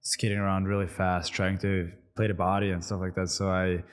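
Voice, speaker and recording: Young Austrian man with a low, halting voice. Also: flat delivery, clear, resonant tone. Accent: Austrian German. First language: German. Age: 20s. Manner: halting, many fillers and restarts. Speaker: hockey player guest. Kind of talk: casual interview answers. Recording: long reverb, echoey room.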